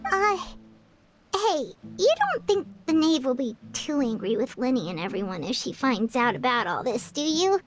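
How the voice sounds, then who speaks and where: A woman voicing a tiny, chatty and excitable female fairy companion in a game, who talks about herself in the third person. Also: sits high. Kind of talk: game dialogue. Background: game music.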